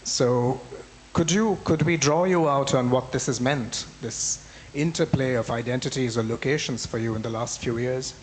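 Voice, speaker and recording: A man's voice: medium pitch, moderate pace, a little hiss or hum, low-quality recording. A man moderating a festival conversation, asking a long question with thoughtful, circling phrasing. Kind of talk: interview question.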